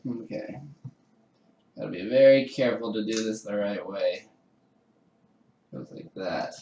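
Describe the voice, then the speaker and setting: A man's voice: fairly low pitch, a little slow, warm tone, reverberant, a little hiss or hum. A man chatting casually with live-stream viewers while assembling a kit, laughing often and poking fun at himself. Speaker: livestreamer building a 3D printer kit. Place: home room with a garage downstairs.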